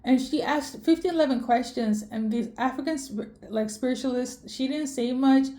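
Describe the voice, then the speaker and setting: A young woman from Sweden delivers a rambling spiritual reading, full of fillers, restarts and self-corrections. Her voice sits a little high, with a soft, mellow tone. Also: normal speed. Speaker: tarot reader.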